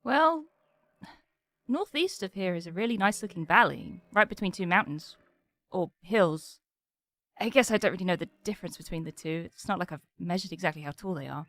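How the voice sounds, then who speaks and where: A woman's voice: on the low side, expressive, brisk, a bit bright. A woman playing a practical, protective knight bodyguard in an audio drama, casual and dryly matter-of-fact. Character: practical, wry female knight bodyguard, protective, grumbles amiably. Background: music.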